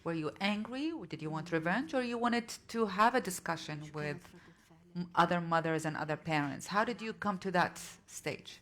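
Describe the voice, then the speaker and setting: A woman whose first language is Arabic moderates a panel discussion in English. Her voice sits fairly low, and she presses her guests with earnest, probing questions. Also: fairly quick, fairly bright tone. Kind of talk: panel interview.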